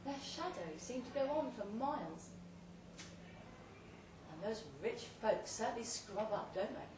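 A woman's voice: fairly high pitch, animated, unhurried. Woman doing a dramatic story reading live, bit far from the mic, echoey room, faint noise. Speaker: reader of a short story. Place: pub event room, read aloud live. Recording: roomy, distant live recording with faint noise.

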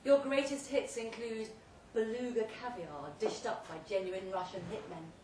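A woman giving an emphatic, deliberately phrased dramatic reading of a second-person short story at a live event. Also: a little high, darkish tone. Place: indoor pub event, before an audience. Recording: roomy live pub recording, distant mic, faint noise.

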